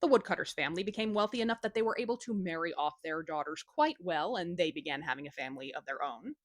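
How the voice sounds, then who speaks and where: A woman tells a folktale with very lively, swooping pitch and an informal, cheeky delivery. Her voice is recorded close and dry, with little room around it. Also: quick pace, dry recording. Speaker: storyteller, host. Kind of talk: casual scripted folktale retelling.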